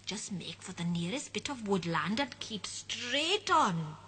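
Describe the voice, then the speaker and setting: A woman doing a kindly old woman, soft and hushed. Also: medium pitch, very animated, a little slow, a little hiss or hum.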